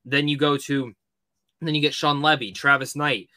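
Bright, forceful man on a podcast. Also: on the high side, fairly even intonation, normal speed, no echo, studio quality. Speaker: podcast co-host. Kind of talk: casual movie discussion.

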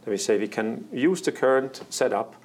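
A man in an interview, explaining air traffic plans as an executive, halting often with fillers and restarts. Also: medium pitch, animated, darkish tone. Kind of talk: interview answers.

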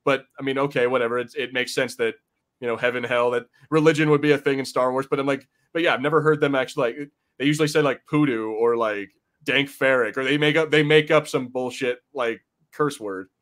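A man's voice: medium pitch, fairly even intonation, brisk, fairly bright tone. Male podcast host, mid-range voice, informal panel chat, loose and rambling, swears casually.

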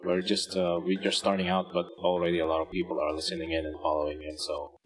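A man's voice: low voice, completely flat delivery, quick pace, rough recording. A man with a Filipino accent hosting a casual podcast chat, rambling with fillers and restarts. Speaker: podcast host.

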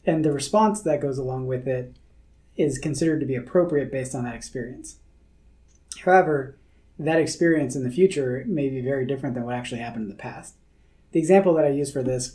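A man co-hosting a podcast as a therapist, explaining ideas in a measured way through a worked example. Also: medium pitch, warm tone. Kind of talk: explanatory conversational chat.

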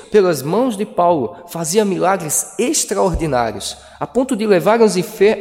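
A man preaches to his congregation in a lofty, high-pitched voice, leaning into dramatic pauses and emphatic phrasing. His words ring out through a church hall, trailing a long echo. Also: darkish tone. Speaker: pastor. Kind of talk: sermon.

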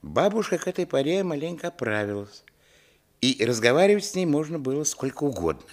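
A man's voice: a little high, big pitch swings, a little fast. An actor playing a grown man recalling his village boyhood, narrating a radio play in a reflective storytelling manner. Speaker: narrator telling his childhood. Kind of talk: dramatised literary narration.

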